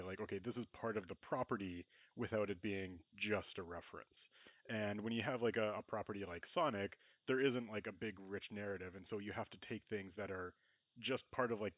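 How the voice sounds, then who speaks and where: A man's voice: lowish voice, no room sound. A man co-hosting a casual podcast discussion, explaining his points and often leaning on the word "like". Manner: explanatory, frequent "like". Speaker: podcast co-host. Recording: narrow phone-like band, dry.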